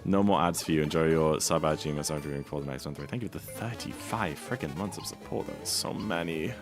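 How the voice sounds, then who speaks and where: Young male streamer, very deep and slightly hoarse, laid-back and rambling. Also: brisk. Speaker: speedrun streamer. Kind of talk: casual live-stream chat. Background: game music.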